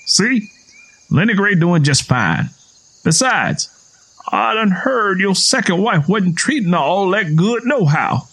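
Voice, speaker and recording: Man voicing a high-pitched, taunting Southern man. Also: sing-song, slow, fairly bright tone, heavily acted. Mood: smug. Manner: folksy, taunting, argumentative.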